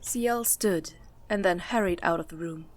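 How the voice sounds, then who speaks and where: A woman's voice: on the low side, unhurried, slight background noise. A woman reading scripted story narration for a fantasy audio drama.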